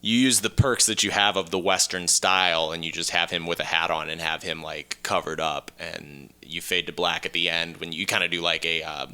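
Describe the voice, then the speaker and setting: Very bright, deep-voiced man, blunt podcast talker. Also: steady tone, moderate pace, hardly any pauses, clean tone. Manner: blunt, profane, joking. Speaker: podcast co-host. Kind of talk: casual banter and movie pitching.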